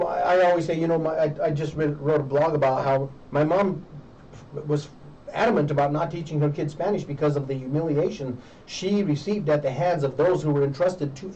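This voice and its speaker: A middle-aged American man speaking earnestly as an interview host, deliberate and emphatic, pausing within his phrases. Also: a little high, warm tone. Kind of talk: earnest conversational commentary. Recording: muffled, distant mic with faint noise.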